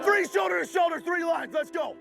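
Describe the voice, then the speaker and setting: Man screaming gravelly, high-pitched drill commands. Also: expressive, brisk, raised voice, over music, a crowd in the background. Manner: barking, commanding. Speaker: military drill instructor.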